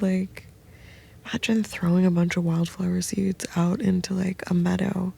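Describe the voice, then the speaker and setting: A woman whispers in a low, gravelly, breathy murmur, rambling softly and intimately. Her tone stays mellow and flat. She is lying on the floor of a small sound booth. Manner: soft whispering, meandering and intimate. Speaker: host. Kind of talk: whispered late-night rambling.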